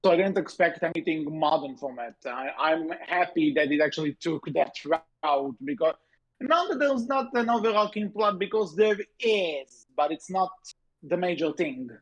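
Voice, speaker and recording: A man co-hosting a podcast in casual discussion, halting and circling back over his points as he goes. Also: on the high side, animated. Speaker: podcast co-host. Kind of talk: casual discussion of animated shows. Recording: dull, muffled lo-fi audio.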